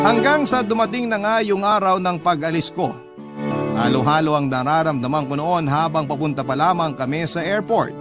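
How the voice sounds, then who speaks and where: Male radio storyteller, fairly high voice, sentimental and measured letter narration over a clear music bed. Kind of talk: dramatic first-person letter narration.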